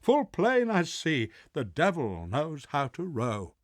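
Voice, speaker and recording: Crazy boy in a story poem, high loud cackly voice, gleefully mad. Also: huge pitch swings, takes it slowly, no room sound, clean studio sound, full character voice. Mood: mad glee. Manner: loud, cackling, shrill exclaiming.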